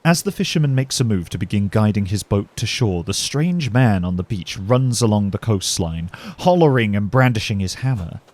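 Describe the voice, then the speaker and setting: A man narrating a scripted fantasy story in a measured, dramatic storytelling manner. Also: fairly low pitch, lively intonation, darkish tone. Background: music.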